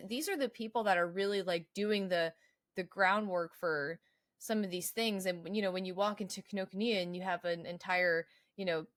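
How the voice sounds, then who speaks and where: A woman's voice: fairly low pitch, no room sound, studio quality. An American woman co-hosting a podcast, chatting casually in an agreeable, conversational way. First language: English. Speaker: podcast co-host.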